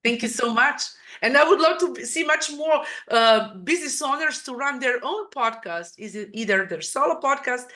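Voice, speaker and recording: Bright-voiced woman host, halting speech. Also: sits high, expressive. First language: non-English. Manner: halting, repeats words, frequent "you know". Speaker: podcast host. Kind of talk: casual interview chat.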